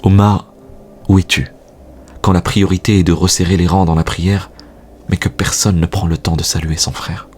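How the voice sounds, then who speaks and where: A man with a husky voice recites spoken-word poetry in a solemn, earnest, exhortatory manner, posing rhetorical questions. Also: deep voice, flat delivery, moderate pace, darkish tone, a little hiss or hum. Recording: music bed behind the voice. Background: music.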